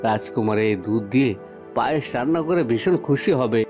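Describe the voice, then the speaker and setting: A man's voice: mid-range voice, expressive, normal speed, lightly acted. A man voicing a good-natured, honest young village milk seller in a cartoon. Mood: eager. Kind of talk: cartoon voice acting. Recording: music and birdsong behind the voice.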